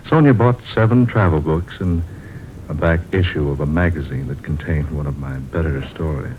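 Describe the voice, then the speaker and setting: A man's voice: rumbling low, moderate pace. An actor playing a bemused, fond writer husband who narrates his travels in an old-time radio drama style. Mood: matter-of-fact. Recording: muffled noisy old radio recording, rain behind.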